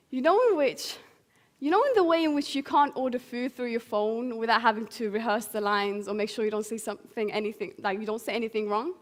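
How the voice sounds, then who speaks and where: A teenage young woman from Syria giving an earnest prepared public speech. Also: on the high side. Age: teens. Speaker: young guest speaker.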